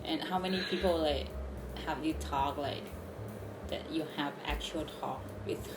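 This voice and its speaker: A woman with a low, dusky voice chats in English over a video call, hesitant and giggly, leaning on fillers. Her voice rings in a roomy space, and clear music plays underneath. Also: measured pace. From Thailand. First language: Thai. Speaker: channel host chatting with strangers. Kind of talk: casual video chat. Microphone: online video call.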